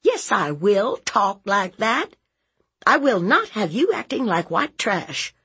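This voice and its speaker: A woman's voice: mid-range voice, big pitch swings, slow pace, sharp tone, slightly rough, pitched above the performer's own voice, heavily acted. A woman voicing a fierce, blunt, protective aunt in a story, scolding in an emphatic, folksy, interrogating way. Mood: commanding.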